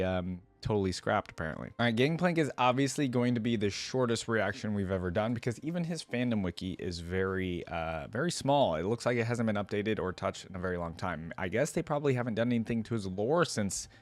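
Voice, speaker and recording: A man with a fairly low voice hosts a casual reaction video. He talks informally, thinking out loud as he comments. The recording is very dry, with the mic close. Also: no echo. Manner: informal, thinking aloud, frequent "like" asides. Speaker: host of a game reaction video.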